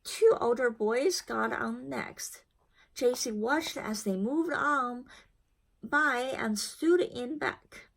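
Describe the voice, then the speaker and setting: A woman reading a children's storybook aloud in expressive, deliberately phrased narration. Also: a little high, gravelly, rough recording. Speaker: storybook reader.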